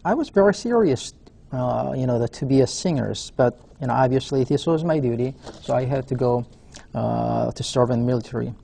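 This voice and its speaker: A man in his forties from South Korea with a Korean accent, a professor giving a webcast lecture in a halting way, filling his pauses with "uh". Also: medium pitch, expressive, gravelly, mellow, slight background noise. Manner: halting, frequent "uh" fillers. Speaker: university professor.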